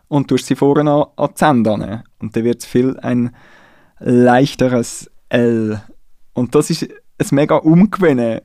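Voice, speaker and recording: Mellow Swiss man, thoughtful. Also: medium pitch, no room sound, studio quality. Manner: thoughtful, searches for words with restarts. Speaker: guest musician. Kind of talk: casual interview conversation.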